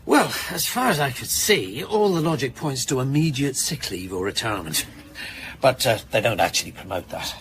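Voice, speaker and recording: A man's voice: medium pitch, expressive, moderate pace, fairly bright tone, slight background noise, rough recording. A man playing a sardonic junior detective in a naturalistic radio drama, hesitant and joking with his chief. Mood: wry, sceptical. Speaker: actor in an audio drama.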